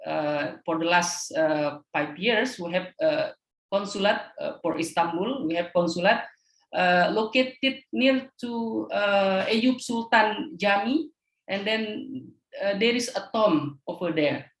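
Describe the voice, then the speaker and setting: Indonesian woman on a panel, talks slow n halting, lots of pauses, echoey room. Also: mid-range voice. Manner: halting, frequent hesitations. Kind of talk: panel presentation. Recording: roomy echo.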